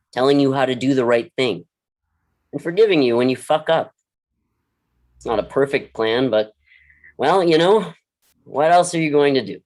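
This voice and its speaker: An actor in a staged play reading, voicing a blunt, world-weary mentor who advises a troubled student in a deliberate, earnest, dryly frank way. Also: medium pitch, lightly acted. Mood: dry, encouraging.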